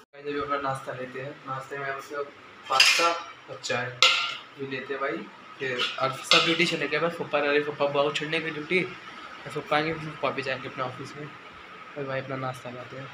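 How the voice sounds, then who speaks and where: A hoarse teenage boy with a sore throat, chatting casually to his viewers in a home vlog filmed on a phone held at arm's length. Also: medium pitch, little pitch movement, a little fast, heavy background noise. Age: teens. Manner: chatty, filler-heavy, addresses viewers as "bhai" and "guys". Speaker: vlogger. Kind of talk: casual day-in-the-life vlog. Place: small home rooms indoors. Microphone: phone camera held at arm's length.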